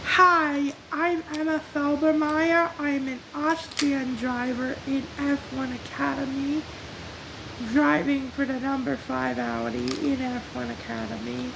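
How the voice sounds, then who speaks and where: A performer voicing a female racing driver who reads a children's story aloud in deliberate, spaced-out phrases, on a hissy recording. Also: high-pitched, little pitch movement, gravelly, voice pushed up, heavily acted. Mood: presenting, measured. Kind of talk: scripted story narration with self-introduction. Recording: hissy, noisy home recording.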